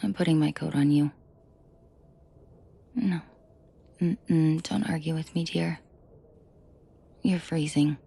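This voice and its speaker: A woman playing a protective mafia boss in an intimate audio drama, soft and breathy with frequent whispering, commanding and dryly teasing. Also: low-pitched, steady tone, slow, long pauses, exaggerated voice. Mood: tender, teasing. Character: protective female mafia boss, menacing to henchmen, tender to victim.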